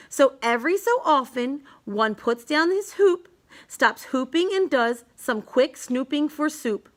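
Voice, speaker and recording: A woman's voice: high-pitched, a bit bright. A woman reading a rhyming children's book aloud in an emphatic, sing-song storytelling manner.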